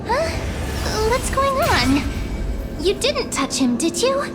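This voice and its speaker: A woman's voice: extremely high pitch, sing-song, takes it slowly, loud music behind the voice, exaggerated voice. An actress playing an earnest, inquisitive young female adventurer in a video game, speaking hesitantly. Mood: alarmed. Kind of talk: video game dramatic voice acting.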